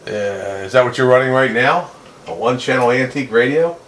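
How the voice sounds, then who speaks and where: A man's voice: medium pitch, animated, a little fast. A man chatting casually and approvingly with fellow radio hobbyists as the host of a CB roundup, in an easygoing manner. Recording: roomy echo, faint background noise.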